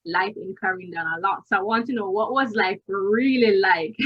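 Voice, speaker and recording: A woman hosting a casual interview, gently leading her guest with questions and soft laughs over a video call. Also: mid-range voice, normal speed, breathy edge. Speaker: podcast host. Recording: dull lo-fi call audio.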